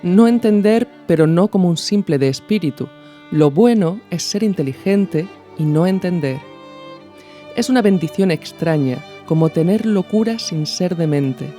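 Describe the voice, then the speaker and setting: Woman, Peninsular Spanish accent, podcast host, soft dark tone, dry close sound, music behind, reflective and informal. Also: lowish voice, animated, a little slow. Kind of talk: reflective commentary with a literary reading.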